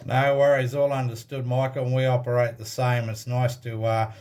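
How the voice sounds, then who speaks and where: An Australian man working as an amateur radio operator, chatting casually over a contact in halting phrases full of operator jargon. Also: mid-range voice, level tone, noisy background.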